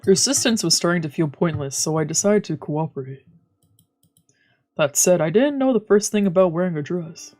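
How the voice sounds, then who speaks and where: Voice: mid-range voice, expressive, normal speed, darkish tone. Streamer narrating a visual novel over music. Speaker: streamer, let's player. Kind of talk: read-aloud narration of visual novel with casual asides.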